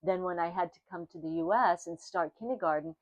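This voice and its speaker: An older woman whose first language was Armenian recalls her life in an oral history interview. Her voice sits a little low, and she pauses and restarts her sentences as she thinks. The recording is dry and a bit dull, like a lo-fi room capture. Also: no room sound. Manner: reflective, halting, often restarting sentences.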